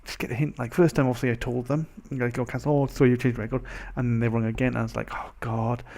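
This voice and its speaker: A young man with a mild Welsh accent, chatting casually as a vlogger in a rambling, animated, self-interrupting way. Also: mid-range voice, fast talker, gravelly. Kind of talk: casual chat to camera.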